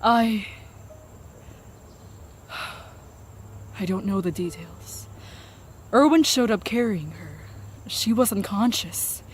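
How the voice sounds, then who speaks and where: A woman playing a weary, worried but courteous camp survivor in an audio drama, gentle and sombre in manner. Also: medium pitch, slow pace, long pauses, a little hiss or hum, lightly acted. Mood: somber. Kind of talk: audio drama acting, anime fan parody.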